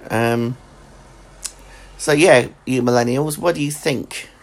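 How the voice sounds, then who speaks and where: A man's voice: medium pitch, slow pace, vocal fry, a crowd in the background. A man, hosting a casual podcast chat and laughing often. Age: 40s. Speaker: podcast host.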